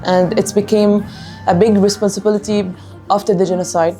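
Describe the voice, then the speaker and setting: A woman from Gaza with a Palestinian Arabic accent, a singer answering interview questions in earnest, halting English, often repeating words as she restarts. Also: medium pitch, little pitch movement, dark tone, soft background music. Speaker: singer of a music band.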